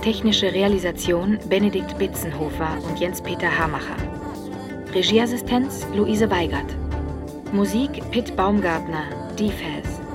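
A woman's voice: fairly low pitch, unhurried. A woman announcer reading scripted credits for a radio drama. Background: prominent music.

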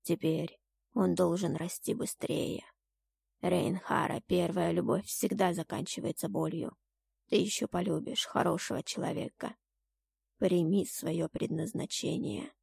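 A solemn god speaks of destiny in a fantasy audiobook, performed by a woman. The delivery is grave and measured, pitched down, with commanding calm. The recording is very dry. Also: vocal fry, slightly rough, no echo, exaggerated voice. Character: stern, solemn male god pronouncing grim destiny. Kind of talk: dramatic fantasy audiobook acting.

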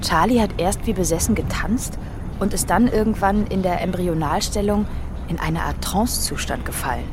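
A woman's voice: on the low side, normal speed, lightly acted. An actress playing a young female hippie follower who recalls her leader admiringly in a radio play. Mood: admiring, reminiscing. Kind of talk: German radio play monologue. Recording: music behind the voice.

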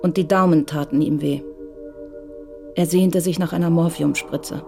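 A woman's voice: low-pitched, fairly even intonation, a little slow, creaky, dark tone. A woman reading scripted literary narration as the narrator of a radio drama. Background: music under the voice.

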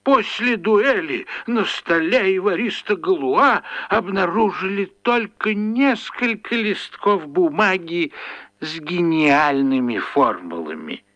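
A man's voice: high voice, a bit bright, no room sound, exaggerated voice. A male actor playing a gloomy, mysterious TV storyteller in a children's radio play, telling his tale solemnly. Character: gloomy bearded television storyteller, mournful and mysterious.

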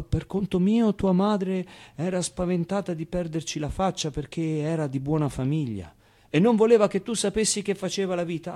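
A man voicing an ageing, sentimental foster mother with a plaintive, drawn-out delivery in a theatrical monologue. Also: high-pitched, creaky, warm tone, voice pushed up. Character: ageing, melodramatic foster mother, sentimental old Parisian madam.

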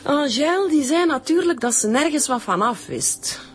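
A woman's voice: high voice, animated, normal speed, faint music behind. An actress playing a chatty, easily indignant woman giving a colloquial witness statement in a radio crime drama. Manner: talkative, colloquial storytelling.

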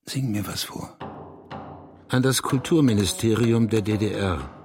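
A needy, embittered man of letters in a literary radio play. His voice sits fairly low and broods with a provocative intensity. There is faint music behind him. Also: a little slow. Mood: formal, defiant. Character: obsessive, bitter, needy East German male writer. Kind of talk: radio drama acting and literary monologue.

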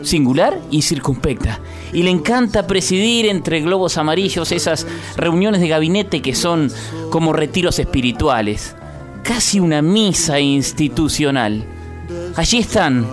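A man with an Argentine accent narrating satirical radio drama in a wry, florid, theatrical way. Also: on the high side.

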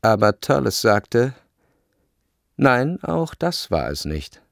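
An actor as the measured literary narrator of a radio play, delivering scripted prose from a novel. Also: male voice, mid-range voice, big pitch swings, creaky, dry recording, studio quality, full character voice.

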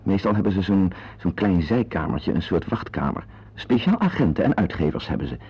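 Grumbling, opinionated husband for radio play; lowish, slightly husky, dull old recording. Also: male voice, big pitch swings, brisk, full character voice. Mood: irritated. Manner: wordy, opinionated, hesitant with strangers. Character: Edward Grok, grumbling, self-important husband, confiding in listeners. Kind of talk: radio drama acting with narrated asides.

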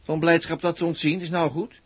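A man acting an excitable, wry spaceship crewman in a 1960s radio drama, husky, repeating himself in exclamations. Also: a little high, brisk, no echo. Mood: sardonic.

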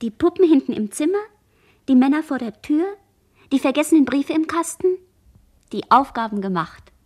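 High-voiced delirious shipwrecked girl. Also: expressive, normal speed, darkish tone. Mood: trance-like. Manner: fragmentary, incantatory, drifting phrases. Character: barely conscious shipwrecked young girl, delirious, dreamy, fearful. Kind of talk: radio play monologue, poetic disjointed speech.

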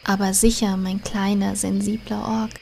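A woman's voice: medium pitch, flat delivery, moderate pace, creaky, dark tone, slight background noise, close to an everyday voice. A woman playing a teasing, doting blood elf mage in a comedy audio drama. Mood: tender.

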